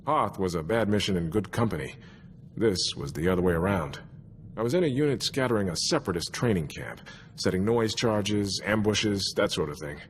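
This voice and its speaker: An actor playing an easygoing, chatty and wry young army soldier in a video game, speaking in a musing, conversational way. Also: male voice, fairly low pitch, expressive, normal speed, a little hiss or hum, natural voice. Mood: wry, reminiscing. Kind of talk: video game cutscene dialogue.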